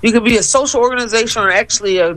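A woman co-hosting a call-in radio panel, animated and teasing, laughing often. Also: fairly high pitch, very fast, poor audio. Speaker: radio co-host.

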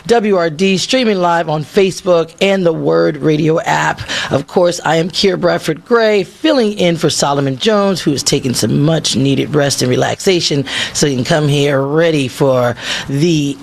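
A man hosting a morning radio talk show as a fill-in, with a raspy voice that is getting hoarse; he is animated, laughs often and reads news stories aloud. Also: a little high, moderate pace. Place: radio studio.

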